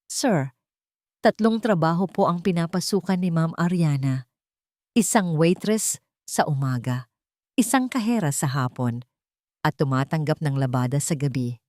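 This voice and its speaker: A woman's voice: deep voice, a little slow, long pauses, darkish tone, no echo. Woman as Filipino male assistant, respectful, hesitant, polite reporting, romance audio story, dry sound.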